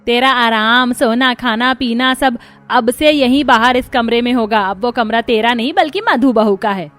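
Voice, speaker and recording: A woman's voice: a little high, normal speed, a bit bright, faint music behind, close to an everyday voice. A woman voicing a greedy, boastful, bossy older mother-in-law in a Hindi cartoon story, with a scolding, emphatic manner.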